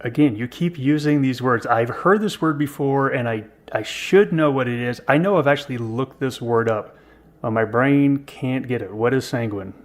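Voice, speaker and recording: Man, 50s, podcast co-host, joking and self-deprecating, middle pitch. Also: moderate pace. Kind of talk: casual podcast conversation.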